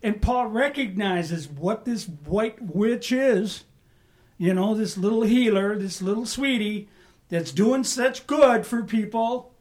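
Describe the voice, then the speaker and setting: Unhurried preacher, chatty Bible-study delivery, checks in with "right?". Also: mid-range voice, darkish tone. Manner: conversational, asks the room "right?" and "amen?". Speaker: pastor. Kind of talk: sermon, Bible study teaching.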